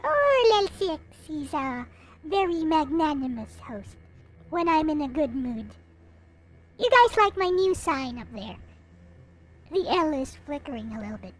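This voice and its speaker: A woman hosting a live stream in casual chat, rambling along with frequent hesitant pauses. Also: high voice, sing-song.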